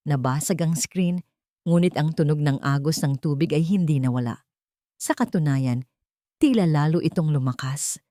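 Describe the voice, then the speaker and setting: Low-voiced, dramatic woman storyteller. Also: a little mellow, no room sound, studio quality. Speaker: story narrator. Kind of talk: dramatic scripted first-person story narration.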